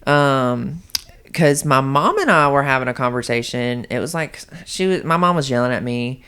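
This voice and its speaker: A young man with a high-pitched voice telling his story as a podcast guest, chatty and candid with many ums and likes. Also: normal speed, sharp tone, clear, resonant tone. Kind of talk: casual storytelling chat.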